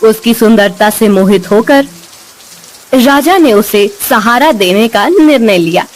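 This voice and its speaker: A woman narrating a scripted story with expressive delivery. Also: a little high, a little slow.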